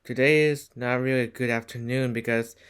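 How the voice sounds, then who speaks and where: A man's voice: mid-range voice, fairly even intonation, fairly quick, fairly bright tone, clean tone, natural voice. A man playing a local news anchor in an amateur disaster drama, announcing with slight stumbles. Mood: grave. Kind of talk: amateur emergency news broadcast acting.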